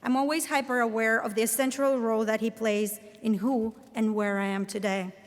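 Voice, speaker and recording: A woman's voice: a little high, steady tone. Latvian-accented woman speaking in a reverberant hall. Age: 30s. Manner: measured, earnest, deliberate phrasing. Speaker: commencement speaker, professor. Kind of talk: formal commencement address. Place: large ceremony hall.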